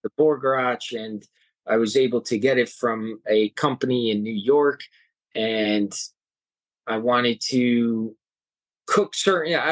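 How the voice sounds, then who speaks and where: Earnest young man, halting speech. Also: mid-range voice, no room sound, low-quality recording. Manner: halting, restarts often, earnest. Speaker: guest, home cook and hiker. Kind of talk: casual interview chat.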